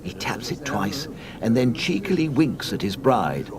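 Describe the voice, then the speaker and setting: A man narrating a scripted read-along storybook in a mock-solemn, deadpan comic manner. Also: medium pitch, unhurried, background noise.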